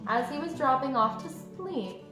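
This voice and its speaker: A woman with a slightly high voice narrates a storybook expressively as a read-aloud. The room is echoey and music plays behind her. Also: animated, unhurried.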